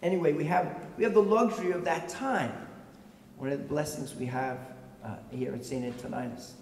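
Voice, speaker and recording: Raspy middle-aged priest preaching, kinda high voice, big echoey church, mic a bit far off. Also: male voice, moderate pace, darkish tone, a little hiss or hum. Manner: conversational, asks the congregation questions. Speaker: pastor. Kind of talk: sermon. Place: large church, at a pulpit. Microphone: stand mic at the pulpit. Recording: echoey church heard from afar.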